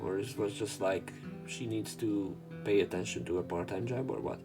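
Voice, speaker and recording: A man's voice: fairly low pitch, moderate pace, music in the background. A man hosting a let's play, reading a visual novel's narration and dialogue aloud.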